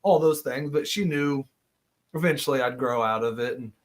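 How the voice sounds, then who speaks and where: A man in his thirties chats casually as a podcast guest. His voice sits at an ordinary middle pitch. He rambles through long stories, laughs often and trips over his own restarts. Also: moderate pace. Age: 30s. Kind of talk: casual chat.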